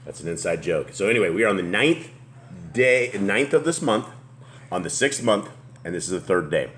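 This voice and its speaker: A man with a booming voice hosting a casual group Bible study, rambling and restarting as he reads aloud. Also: animated, normal speed, a bit bright, a little hiss or hum. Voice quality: deep booming voice. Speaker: host.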